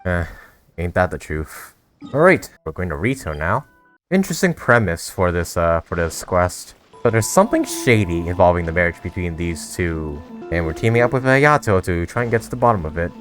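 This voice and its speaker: Deep voiced gamer guy reacting casually, jokey, very animated, bit of music behind. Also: moderate pace. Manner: joking, giggly. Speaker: gaming content creator. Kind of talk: casual reaction commentary over a game playthrough.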